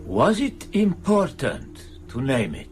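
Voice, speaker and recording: An actor playing a grave, questioning priest in an audio drama, speaking deliberately. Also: male voice, mid-range voice, huge pitch swings, faint music behind.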